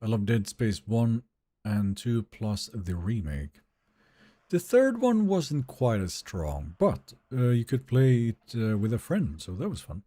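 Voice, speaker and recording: A man with a Swedish accent, live-streaming a game and chatting with viewers in a relaxed, talkative way. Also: medium pitch, takes it slowly. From Sweden. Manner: relaxed, chatty, answers chat questions.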